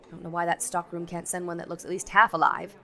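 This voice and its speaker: A woman's voice: fairly low pitch, level tone, fast talker, vocal fry, bright, forceful tone. An actress playing a stern, fussy department store saleswoman, indignant and scolding, in 1940s radio comedy style. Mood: harried grumbling. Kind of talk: 1940s radio sitcom acting.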